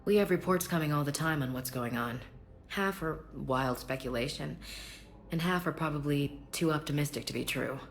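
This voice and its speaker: Low-voiced, candid soldier. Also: female voice, moderate pace, soft background music. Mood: resolute, wry. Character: tough, candid female soldier, frank and dryly humorous. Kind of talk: video game voice acting.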